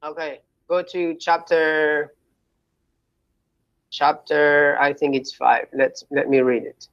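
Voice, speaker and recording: A man's voice: fairly high pitch, level tone, slow pace, fairly bright tone, no room sound, lo-fi sound. A man calling into a debate show, insistent and defensive as he argues his point. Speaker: caller. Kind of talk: heated call-in debate.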